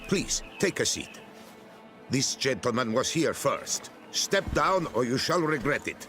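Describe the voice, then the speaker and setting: A slow, stern nobleman. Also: medium pitch, expressive, fairly bright tone, faint music behind. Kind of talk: video game cutscene.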